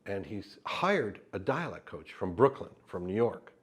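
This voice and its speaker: Man, native Yiddish speaker, interviewee, storytelling; deep and husky, animated, acts out the dialogue he quotes. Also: a little slow. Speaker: actor interviewee. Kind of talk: anecdotal storytelling in an oral history interview.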